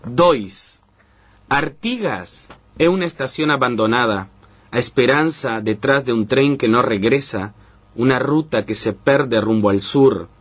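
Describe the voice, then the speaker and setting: Hombre uruguayo de voz ronca y titubeante. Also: male voice, medium pitch. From northern Uruguay border region. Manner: hesitant, repeats "¿no?" often, reads poems slowly. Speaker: poet, interview guest. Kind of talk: casual radio interview conversation.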